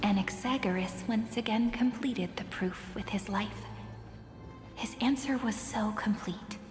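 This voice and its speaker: A woman playing a wistful, solemn fantasy game chronicler who speaks very slowly and reflectively. Her poetic lines ring with heavy hall echo over faint music. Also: medium pitch, darkish tone, lightly acted. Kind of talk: dramatic game narration. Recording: echoing hall reverb.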